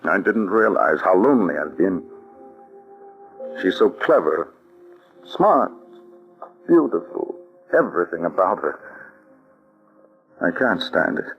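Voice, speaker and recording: A middle-aged army colonel, lovesick and anxious, in a vintage radio play. His voice is low but swings widely in pitch as he halts and pleads. The old broadcast recording is dull and lo-fi. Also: lively intonation, slow, vocal fry, long pauses, faint music behind, heavily acted. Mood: anguished. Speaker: actor in radio drama. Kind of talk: 1940s radio acting.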